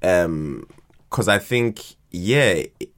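A man in casual podcast chat, halting and repeating words as he searches for them. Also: low voice, lively intonation, fairly bright tone. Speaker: podcast speaker.

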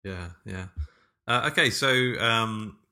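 Bright-voiced man co-hosting, lowish pitch. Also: takes it slowly. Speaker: podcast co-host. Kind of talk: casual podcast interview chat.

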